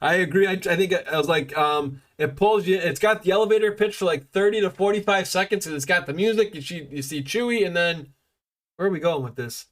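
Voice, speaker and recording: High-pitched guy hosting a podcast, chatty and excited. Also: fairly quick. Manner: enthusiastic, chatty with fillers and restarts. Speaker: podcast host. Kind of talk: casual podcast chat.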